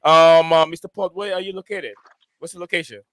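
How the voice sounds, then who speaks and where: A man hosting a football fan livestream, friendly and hesitant, filling pauses with "uh" as he reads quotes aloud. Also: on the high side, steady tone, a bit bright, no echo. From outside the UK. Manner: friendly, hesitant, lots of "uh" while reading aloud. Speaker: livestream host.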